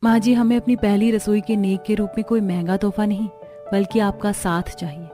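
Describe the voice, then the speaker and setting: A woman's voice: mid-range voice, fairly even intonation, brisk, mellow, faint music behind, lightly acted. A woman voicing a humble, devoted young daughter-in-law in a cartoon, earnest and respectful.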